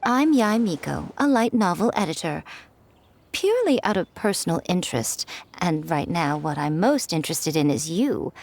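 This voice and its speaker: A woman voicing a sly, playful adult editor who teases others in a smooth, amused manner in a video game. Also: lowish voice, sing-song, normal speed, a little mellow, exaggerated voice. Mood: teasing. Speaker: light novel editor.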